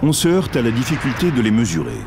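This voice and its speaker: A man giving scripted documentary narration in a measured, explanatory way. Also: mid-range voice, huge pitch swings, creaky, noisy background. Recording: dubbed voice-over with music behind. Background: music.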